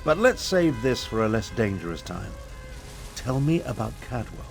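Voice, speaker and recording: Pompous old battlemage over faint music. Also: male voice, medium pitch, sing-song, vocal fry. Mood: scholarly. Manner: pompous, sardonic, measured. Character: proud, haughty, weary elderly battlemage. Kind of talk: video game character dialogue.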